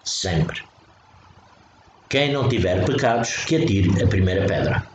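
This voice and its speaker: A man's voice: deep voice, expressive, a little slow, dull audio. A man from Portugal with a European Portuguese accent, giving a spontaneous spiritual talk, emphatic and repetitive, often restarting phrases. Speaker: spiritual preacher. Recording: echoey room with faint noise.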